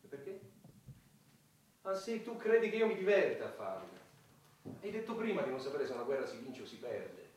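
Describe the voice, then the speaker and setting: A man's voice: mid-range voice, very animated, a little fast, long pauses, slight background noise, natural voice. A man playing a cynical, self-important older president in a radio play, speechifying in a pompous, sardonic way. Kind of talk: theatrical radio drama acting. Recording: very echoey hall, distant mic, music.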